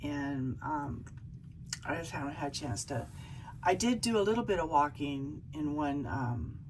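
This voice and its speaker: A middle-aged woman with a low, croaky, hoarse voice chats casually, circling back with ums and restarts as she talks. Faint background noise sits under her voice. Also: lively intonation, a little slow, poor audio. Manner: chatty, with frequent ums and restarts. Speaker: travel vlogger. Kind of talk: casual vlog chat.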